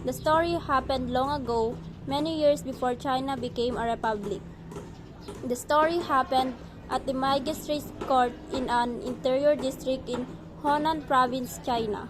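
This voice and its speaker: A woman's voice: high voice, fairly even intonation, normal speed. A woman narrating an amateur radio drama from a script, with small stumbles as she reads. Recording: noisy amateur recording.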